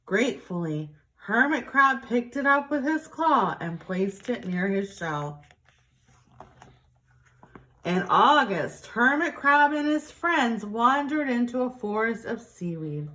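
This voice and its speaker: A woman reading a picture book aloud to young children in an expressive, deliberate teacher's way. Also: on the high side, rough recording.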